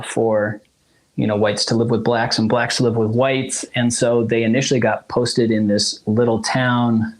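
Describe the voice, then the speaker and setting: A man in his forties from South Africa whose first language is Zulu, telling his family story as a musician guest in a casual interview, rambling with frequent laughs and restarts. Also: medium pitch.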